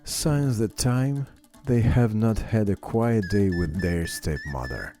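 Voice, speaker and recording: Guy reading a kids fairy tale, lowish and mellow, takes his time, bit of music behind. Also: slow pace. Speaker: narrator. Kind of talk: scripted children's fairy tale narration.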